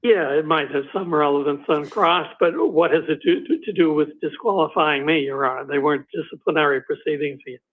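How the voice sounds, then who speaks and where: A man's voice: on the high side, brisk, few pauses. A man arguing as a special prosecutor before a judge, hesitant with many ums and uhs, losing his voice. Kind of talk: courtroom argument. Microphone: video call. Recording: tinny phone-like audio from a video call.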